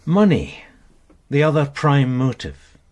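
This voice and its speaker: A British detective sergeant in a radio drama, loyal and wry. His mid-pitched voice has lively rises and falls while staying matter-of-fact in manner. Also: slow pace. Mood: probing.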